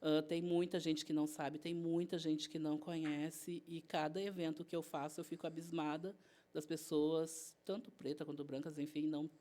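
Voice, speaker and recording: A woman's voice: low voice, flat delivery, moderate pace, warm tone. A woman guest chef with a southern Brazilian accent, telling stories in a casual interview and acting out bits of dialogue as she goes. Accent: southern Brazilian Portuguese. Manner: chatty, retells events by acting out dialogue.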